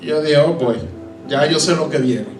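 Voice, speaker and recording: A man with a Puerto Rican accent tells his testimony as a sermon, acting out the voices he met and mixing English phrases into his Spanish. His voice sits a little high and reaches the microphone from a distance, ringing in a reverberant church hall. Soft instrumental music plays quietly behind him. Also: normal speed. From Puerto Rico. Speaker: pastor. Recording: echoey hall, voice from afar.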